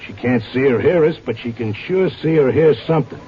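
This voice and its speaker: A man's voice: medium pitch, a little fast, a little hiss or hum, close to an everyday voice. An actor playing a stubborn, devoted horse-race handicapper in a vintage radio drama, talking in slangy wise-guy style. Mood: determined. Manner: slangy, streetwise Broadway wise-guy talk. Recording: dull old radio recording with music.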